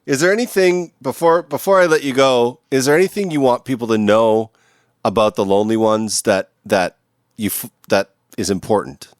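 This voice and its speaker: A man's voice: medium pitch, normal speed, no room sound, studio quality. Easygoing man hosting. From Vancouver, Canada. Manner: easygoing, swears, laughs often. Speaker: podcast host. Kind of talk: casual interview chat.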